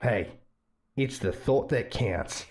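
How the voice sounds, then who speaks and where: A man's voice: medium pitch, expressive, slow pace, darkish tone. A man playing a loyal, reasonable defense advocate in a visual novel, speaking persuasively and plainly. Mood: defensive.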